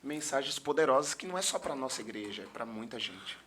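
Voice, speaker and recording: A man's voice: mid-range voice, expressive, fast talker, a bit bright, slightly rough. A middle-aged man with a São Paulo Brazilian accent chatting casually as a pastor guest on a podcast. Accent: São Paulo Brazilian Portuguese.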